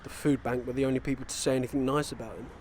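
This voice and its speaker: Man acting measured British police detective. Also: medium pitch, fairly even intonation, a little mellow, slight background noise, natural voice. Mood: pondering. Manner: measured, procedural. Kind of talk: naturalistic radio play acting.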